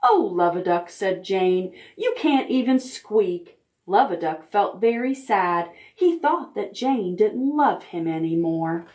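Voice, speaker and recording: A woman's voice: mid-range voice, expressive. A woman librarian reading picture books aloud to preschoolers, stopping to ask the children questions. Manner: gentle, deliberate storytime delivery.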